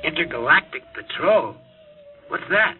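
Desperate test pilot, old radio drama, highish voice, thin phone-like vintage sound, music underneath. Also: male voice, expressive, a little slow. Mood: bewildered. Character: desperate male rocket test pilot, urgent and haunted.

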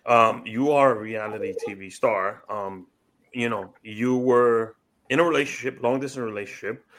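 A man's voice: mid-range voice, normal speed, fairly bright tone. Upbeat male podcast host. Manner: enthusiastic, upbeat, frequent affirmations. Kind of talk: casual interview chat.

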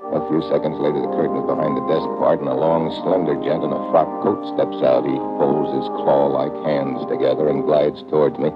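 A hard-boiled agent speaks in a very deep voice with clipped, wry delivery. It is vintage radio drama, muffled, with music clearly behind the voice. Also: male voice, level tone, fairly quick, hardly any pauses. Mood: tense narration. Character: tough wry American secret agent narrating his own case. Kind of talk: old-time radio drama narration and acting.

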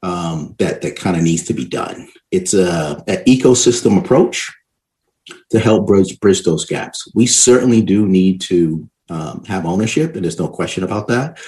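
A man's voice: low voice, lively intonation, moderate pace, a little mellow. A man explaining his points in an interview, emphatic and often checking in with short tag questions. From Barbados and New York City. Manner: emphatic explainer, frequently tags points with "right?". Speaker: interview guest, education and economics expert.

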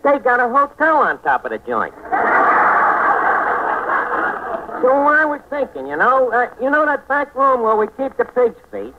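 Scheming, hesitant tavern manager, high lively voice, tinny 1940s radio comedy. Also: male voice, normal speed. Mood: eager, bumbling. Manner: hesitant, hemming and hawing, malapropisms. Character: bumbling, scheming male tavern manager, cheerfully uneducated. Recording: scratchy, narrow-band 1940s radio recording.

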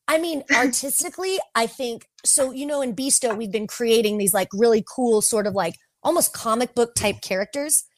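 Brief: talkative woman guest, slightly high voice, close dry mic. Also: normal speed, hardly any pauses, dry recording. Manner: chatty, heavy use of "like". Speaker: podcast guest. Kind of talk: casual chat.

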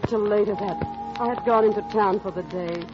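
A woman's voice: medium pitch, brisk, over music, close to an everyday voice. A woman playing a poised, tender and anxious businesswoman in an old-time radio drama, with emotive, theatrical delivery. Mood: warm, reflective. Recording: muffled, noisy vintage radio recording.

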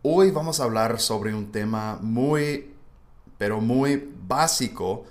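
A man's voice: mid-range voice, takes it slowly. A man from the United States, a native English speaker, hosting a casual language-learning podcast. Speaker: podcast host. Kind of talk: casual educational podcast conversation.

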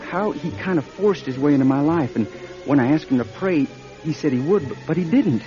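An actor playing a scared, suspicious young man in a radio drama, speaking in an anxious, halting stammer. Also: a little high, expressive, mellow, natural voice. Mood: dread, halting recollection. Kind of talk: audio drama acting, first-person narration and dialogue. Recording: dull old radio drama, music behind.

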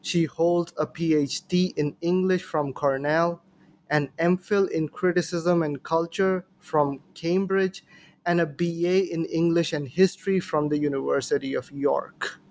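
Male podcast host, slightly high voice, formal and courteous, scripted introduction style, faint background noise. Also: steady tone, unhurried, a little mellow. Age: adult, professor. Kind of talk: scripted introduction and interview hosting.

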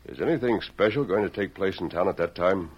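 An American actor playing a steady, resolute western hero in old-time radio style, with measured, firm and terse delivery. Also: male voice. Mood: earnest, resolute. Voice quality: deep, husky. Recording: dull, lo-fi old radio recording.

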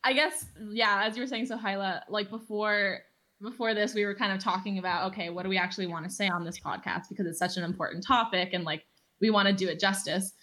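A woman's voice: mid-range voice, fairly even intonation, fast, sharp tone. An eighteen-year-old woman hosts a podcast in a casual, earnest way. She fills her talk with "um" and "like" as she tells her story. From Los Angeles. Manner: earnest, many fillers like "um" and "like". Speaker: podcast host, youth organizer.

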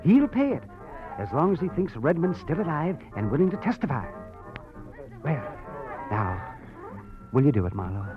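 A man's voice: a little high, very animated, normal speed, pauses often. An American actor playing an urgent, persuasive man in a 1940s radio drama, theatrical and emphatic. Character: urgent, persuasive man hiring a private detective. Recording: muffled old radio recording, music behind.

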